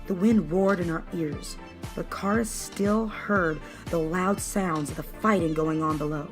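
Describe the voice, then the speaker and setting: A woman narrates a scripted story in a dramatic style. The voice is a little on the low side. Music runs quietly underneath the reading. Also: a little slow. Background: background music.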